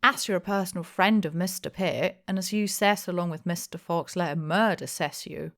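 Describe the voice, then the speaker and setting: A woman voicing a blustering, jovial, opinionated male innkeeper in an audiobook, with loud, emphatic, rustic speech. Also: on the low side, normal speed, natural voice. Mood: sarcastic.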